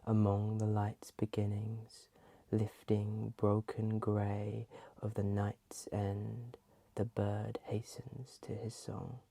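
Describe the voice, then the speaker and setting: A soft, breathy ASMR host reading in a hushed voice that often slips into a whisper. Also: mid-range voice, monotone, slow pace, dry recording.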